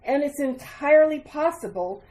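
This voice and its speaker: Woman playing high, mellow, insistent doctor. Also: lively intonation, moderate pace. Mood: urgent. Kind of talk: old-time radio drama acting. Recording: echoey room with faint noise.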